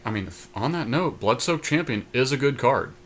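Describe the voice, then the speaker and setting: A man's voice: medium pitch, lively intonation, moderate pace, a bit bright, a little hiss or hum. A man co-hosting a podcast, giving casual, laid-back commentary on a card game as he thinks aloud. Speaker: podcast co-host. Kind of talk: casual commentary while playing a card draft.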